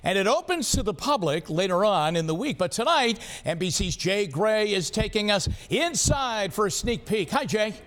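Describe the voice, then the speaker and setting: Animated, high-pitched American male news anchor. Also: normal speed, few pauses. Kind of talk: live news presenting. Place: TV studio.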